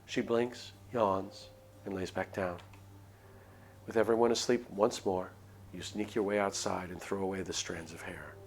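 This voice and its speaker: A man's voice: deep voice, fairly even intonation, unhurried, vocal fry, a little hiss or hum. A man reading a visual novel aloud on a stream, narrating the story expressively. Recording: stream with faint background ambience.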